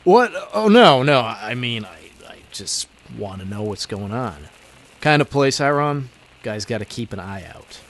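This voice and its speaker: An actor playing a young speakeasy proprietor with a secret vigilante life in an old time radio drama, speaking in a hesitant, conversational way. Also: male voice, mid-range voice, sing-song, unhurried, a little hiss or hum. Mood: uneasy, concerned. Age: young adult.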